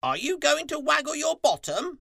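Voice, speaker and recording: Female crow, storybook bird, inquisitive, extremely high, swooping, dry. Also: big pitch swings, moderate pace, gravelly, fairly bright tone, no echo, full character voice.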